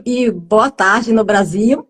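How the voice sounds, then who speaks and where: A woman's voice: medium pitch, takes it slowly, breathy edge. Polite woman nutritionist guest. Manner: modest, polite, with many fillers. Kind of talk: live stream interview.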